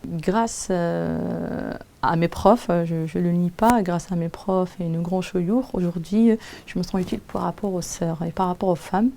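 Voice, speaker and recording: A woman's voice: fairly low pitch, measured pace, creaky, dark tone. A woman who teaches Quran recitation and is a native Arabic speaker, giving a personal testimony in French, hesitant and often searching for words.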